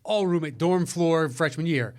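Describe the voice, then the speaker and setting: A man's voice: on the high side, moderate pace, darkish tone. Casual, foul-mouthed man podcasting. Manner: profane, jokey, conversational. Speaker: podcast co-host. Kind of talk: casual banter.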